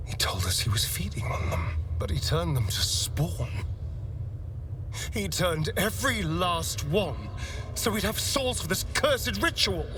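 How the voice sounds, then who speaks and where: A man voicing a vain, centuries-old male vampire spawn, speaking in a hushed, breathy, shaken way, in a video game. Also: sits high, sing-song, unhurried. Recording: distant game audio with background noise.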